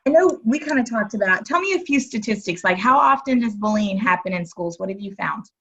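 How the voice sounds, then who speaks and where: A young woman hosting an interview, empathetic and gently prompting her guest with soft affirmations. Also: medium pitch, lively intonation, brisk, slightly rough. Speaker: podcast host, former educator. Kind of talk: casual interview talk. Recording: dull lo-fi remote audio.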